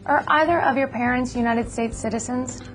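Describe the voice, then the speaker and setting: The polite, high-voiced government officer a woman acting you would meet across an interview desk in an instructional film, working formally through procedure. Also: normal speed, faint music behind, close to an everyday voice. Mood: businesslike. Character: courteous, businesslike female immigration officer. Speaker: actor playing an immigration officer. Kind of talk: scripted educational dramatization.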